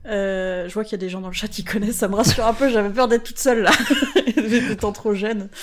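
A woman's voice: medium pitch, fairly even intonation, a little fast. A woman chatting casually as a guest, reminiscing in a hesitant, self-correcting way.

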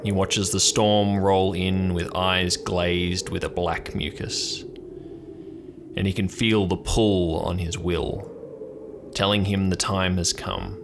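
A man's voice: sits low, flat delivery, takes it slowly, vocal fry, noisy background. A man hosting and narrating an improvised audio drama in an informal way. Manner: informal, joking. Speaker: host and narrator.

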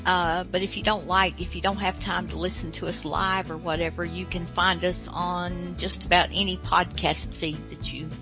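A woman hosting a radio show casually, folksy, halting and rambling. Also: on the low side, little pitch movement, music in the background. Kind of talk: casual unscripted show introduction.

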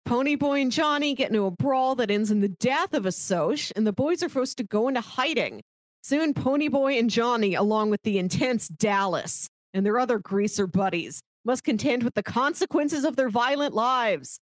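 A woman's voice: on the high side, animated, normal speed, no echo, rough recording. A woman co-hosting a podcast, chatting casually about movies. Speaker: podcast co-host. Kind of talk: casual movie discussion with some read-aloud synopsis.

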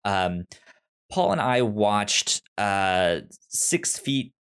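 A man co-hosting a podcast in casual chat, haltingly, often repeating and restarting his words. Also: on the low side, very animated, a bit bright, clear, resonant tone. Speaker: podcast co-host.

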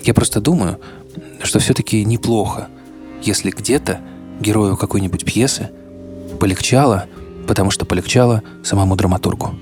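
Male podcast host, lowish voice, scripted narration, soft guitar music underneath. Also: moderate pace.

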